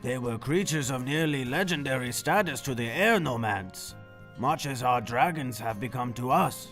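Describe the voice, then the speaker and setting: A man playing a calm, thoughtful old uncle and retired general, speaking in a measured way in an audio drama. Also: mid-range voice, faint music behind, lightly acted. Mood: calm explaining. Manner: measured, musing.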